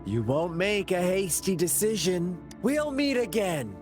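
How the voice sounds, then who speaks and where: Smug teasing villain. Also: male voice, high-pitched, big pitch swings, unhurried. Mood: mocking. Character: sly mocking young male villain. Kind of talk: game cutscene voice acting. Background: game music.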